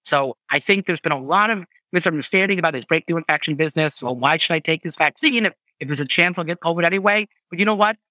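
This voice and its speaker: A man's voice: fairly high pitch, fast talker, hardly any pauses, bright, forceful tone, no room sound, low-quality recording. A man who is a physician, patiently explaining medical topics as an interview guest over a video call. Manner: patient, explains with filler "um" and "right?".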